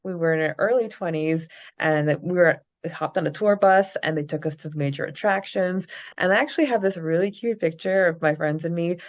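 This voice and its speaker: A woman's voice: lowish voice, brisk, hardly any pauses, lo-fi sound. A young adult woman co-hosting a fan podcast in a casual, chatty style. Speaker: podcast co-host.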